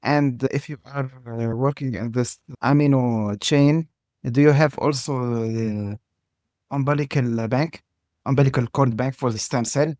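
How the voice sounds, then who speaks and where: A man from the audience asking a question haltingly with many pauses. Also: mid-range voice, fairly even intonation, a little mellow, dry recording, studio quality. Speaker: audience member.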